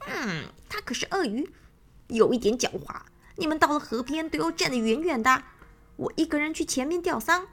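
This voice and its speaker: Lady putting on a honking bird dad from a kids' story, cackles loud, raspy edge. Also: high voice, very animated, moderate pace, pitched above the performer's own voice, heavily acted. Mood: warning. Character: cautious adult male bird cackling "ga ga".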